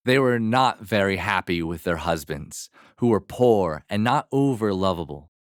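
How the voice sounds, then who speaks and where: A man narrating a fairy tale as a storyteller, in a measured, expressive manner. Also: lowish voice, no echo, clean studio sound.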